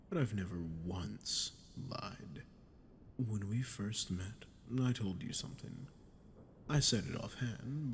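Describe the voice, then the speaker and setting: An ancient, menacing male demon, played by a man in an ASMR roleplay, speaks low and very slowly. His voice is gravelly and breathy, slipping toward whispers as he taunts the listener with theatrical condescension. A faint noise sits behind him. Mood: smug, gloating. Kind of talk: scripted ASMR roleplay monologue.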